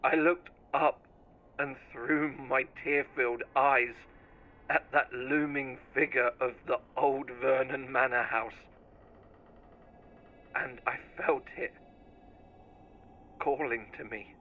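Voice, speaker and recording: A man narrating a scripted first-person horror story in a deliberate, theatrical storytelling manner. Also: mid-range voice, steady tone, phone-quality sound.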